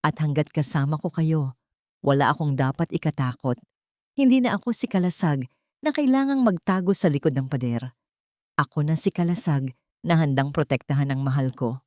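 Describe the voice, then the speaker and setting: A woman's voice: deep voice, animated, moderate pace, darkish tone, no room sound, studio quality. A woman reading as earnest, defiant rich young father. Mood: tender, resolved. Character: defiant, devoted wealthy young father standing his ground.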